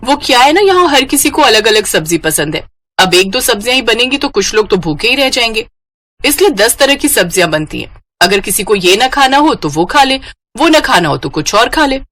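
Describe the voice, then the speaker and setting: A woman's voice: a little high, big pitch swings, normal speed, fairly bright tone. A woman voicing a bossy, demanding young in-law who smugly lays down rules in a cartoon story. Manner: pointed, instructing. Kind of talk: Hindi moral-story cartoon voice acting.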